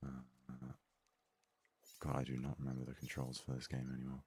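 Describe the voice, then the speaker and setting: A man giving soft-spoken, casual ASMR commentary while playing a video game, muttering in a hushed voice close to the mic. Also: very deep voice, completely flat delivery, normal speed, slightly rough. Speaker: gaming video host.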